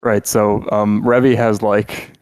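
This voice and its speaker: A man's voice: on the low side, level tone, slow pace, darkish tone, no room sound, low-quality recording. A man co-hosting a podcast in casual chat, rambling and joking with lots of filler words and restarts. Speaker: podcast co-host.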